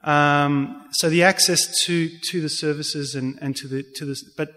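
A man with an Australian accent giving a public talk and answering questions in a halting, earnest, explanatory way. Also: a little high, steady tone, sharp tone. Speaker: religious speaker giving a community talk. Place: reverberant indoor hall. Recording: echoey hall with long reverb.

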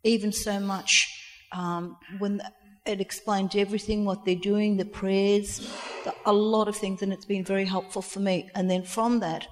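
A woman of sixty, speaking from the audience in a plain, halting, personal way. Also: medium pitch, flat delivery. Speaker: audience member at a community talk. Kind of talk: personal comment from the floor. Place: reverberant indoor hall. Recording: echoey hall with long reverb.

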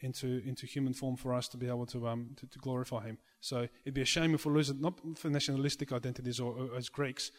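A man's voice: mid-range voice, little pitch movement, fast, few pauses. A man who chants in church, speaking in a question-and-answer discussion, earnest and conciliatory, often restarting his sentences. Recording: long reverb of a hall.

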